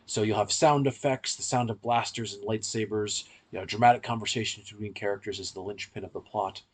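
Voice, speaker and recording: Guy hosting a podcast, lowish raspy voice, explains stuff in long wordy runs. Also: fairly even intonation, a little fast.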